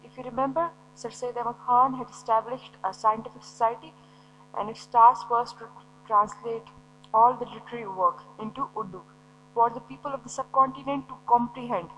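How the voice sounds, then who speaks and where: A woman's voice: a little high, fairly bright tone, slight background noise, low-quality recording. A woman teacher giving a lecture, explaining step by step and asking and answering her own questions. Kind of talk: recorded classroom lecture.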